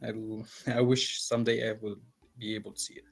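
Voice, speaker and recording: A man from Palestine, a guest in an interview, talking earnestly with frequent fillers and pauses. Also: fairly low pitch, level tone, vocal fry. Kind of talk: casual interview.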